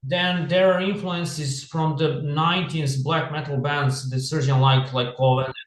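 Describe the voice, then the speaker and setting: A man from Serbia, answering interview questions in English over a video call, halting and full of "you know". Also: fairly high pitch, steady tone, roomy sound, low-quality recording. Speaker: band member.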